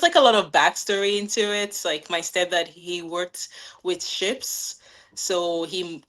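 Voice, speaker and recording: A woman in her thirties from Nigeria sits for a podcast interview as a guest. She talks in a loose, conversational way and fills her answers with "um"s and "like"s. Her voice sits a little on the low side for a woman. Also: fairly bright tone. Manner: friendly, laughs often, many "um"s and "like"s. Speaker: guest, new business owner.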